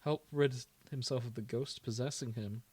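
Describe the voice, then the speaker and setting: A man's voice: mid-range voice, moderate pace, a little mellow, slightly rough, dry recording. A British man co-hosting a podcast, chatting casually about fan fiction. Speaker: podcast co-host.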